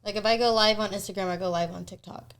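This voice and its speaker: A woman's voice: mid-range voice, steady tone, quick pace. A young woman, a podcast guest, chatting casually in a blunt, slangy way. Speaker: guest on a talk podcast, social media creator. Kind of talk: casual chat.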